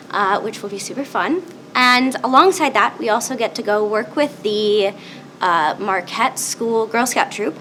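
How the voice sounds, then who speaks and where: A woman's voice: medium pitch, fairly bright tone, slight background noise, rough recording. A young woman engineering student explaining her group's plans with bubbly enthusiasm in a university lab. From United States. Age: young adult. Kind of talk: casual explanation.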